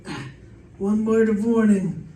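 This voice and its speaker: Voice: mid-range voice, slow pace, mellow, lightly acted. An actor playing a dry, puzzled homicide lieutenant bantering in an old-time radio detective drama. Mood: firm. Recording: echoey, distant mic, faint noise.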